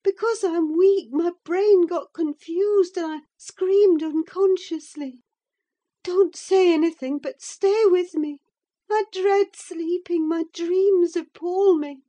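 A woman's voice: extremely high pitch, slow pace, warm tone, pitched above the performer's own voice. A woman voicing a delirious, frightened young married woman in an audiobook of a classic novel, fretful, pleading and prone to gasping exclamations. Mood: weak, ashamed.

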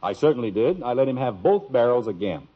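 Excitable, blustery old-radio sitcom dad. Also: male voice, medium pitch, fairly quick. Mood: smug. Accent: American. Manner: blustery, animated. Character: excitable, proud suburban father, easily indignant. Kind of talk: 1950s radio sitcom acting. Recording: dull, husky-sounding old radio recording.

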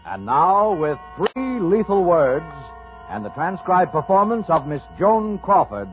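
A man theatrically introducing a 1950s radio suspense drama with deliberate phrasing. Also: sits high, very animated. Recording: dull old radio recording over loud music.

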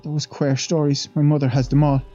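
A man's voice: on the high side, flat delivery, fairly quick, warm tone, dry recording, soft background music, pitched above the performer's own voice, natural voice. A man reading an audiobook voices a superstitious middle-aged Irish housemaid who chatters with fearful, pious asides, on a dull, dry recording. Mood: gossiping.

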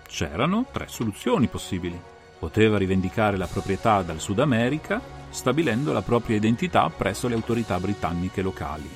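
Man acting analytical male detective, audiobook drama, assured, expository, creaky voice, soft music underneath. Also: on the low side. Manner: assured, methodical, expository, theatrical phrasing.

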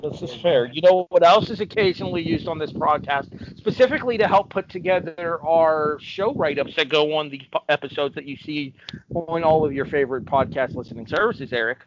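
A man's voice: on the high side, brisk, dull audio, background noise. A man co-hosting a podcast, talking in a casual, chatty way. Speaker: podcast co-host. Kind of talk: casual chat.

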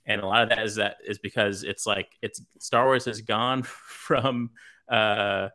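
A man from Los Angeles, a writer, answering interview questions in a monotone, hesitant way with many fillers. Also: fairly low pitch, measured pace. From Los Angeles, USA. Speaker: writer, podcast guest.

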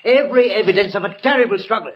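Pompous, high-pitched police prefect, played by a man. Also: racing, natural voice. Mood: triumphant. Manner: pompous, triumphant, exclamatory. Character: pompous, excitable, self-satisfied male French police prefect. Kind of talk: 1960 radio mystery acting. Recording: muffled, noisy old radio recording.

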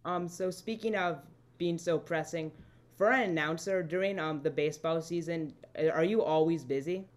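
A man's voice: fairly high pitch, normal speed. A man co-hosting a podcast and interviewing a guest in a casual, informal way.

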